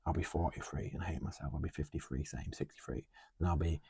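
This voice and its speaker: Man in his twenties, very deep, dark husky tone, dry sound, earnest and musing. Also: steady tone, fast talker, no echo. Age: 20s. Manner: earnest, musing, talks through lists. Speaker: podcast co-host. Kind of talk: casual heartfelt conversation.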